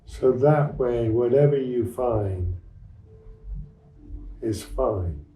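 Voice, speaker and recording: Male meditation teacher, very mellow dark tone, gentle short phrases, long pauses, roomy echo from a distant mic. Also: medium pitch, animated, soft background music. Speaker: meditation teacher leading a satsang. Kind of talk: guided meditation, unscripted and instructional.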